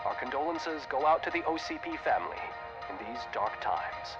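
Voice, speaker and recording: Muffled television sound with clear music behind it carries a glib news anchor , played by a man. He reads with polished, theatrical swings in pitch, as in a video game newscast. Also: lively intonation, moderate pace, lightly acted. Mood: solemn. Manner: polished broadcast delivery, theatrical. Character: glib male TV news anchor, solemn then chirpy.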